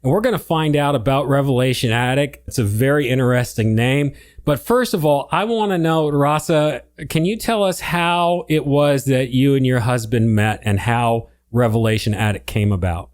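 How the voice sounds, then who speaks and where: An American man hosts a podcast interview in an easy, chatty way. His voice sits at a middle pitch, and he laughs often. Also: normal speed. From Connecticut, USA. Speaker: podcast host. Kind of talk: casual interview chat.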